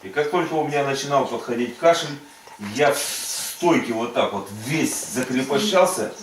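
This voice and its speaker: A man's voice: medium pitch, expressive, moderate pace. A man working as a faith healing preacher, giving insistent prayer commands and coaching in short, repeated phrases. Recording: echoey room, distant mic, faint noise.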